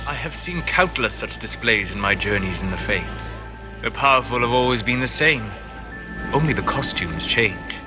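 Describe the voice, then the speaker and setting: An actor playing a serene, wry elven sage in scripted fantasy game dialogue, measured and eloquent. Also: male voice, mid-range voice, animated, close to an everyday voice. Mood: wry, musing. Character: serene, wry male elven sage who wandered spirit realms. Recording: music behind the voice.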